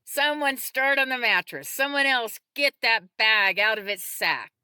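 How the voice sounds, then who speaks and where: A woman voicing a capable, practical female medic, brisk and directive yet calm, in an audiobook. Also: mid-range voice, no room sound. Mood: commanding.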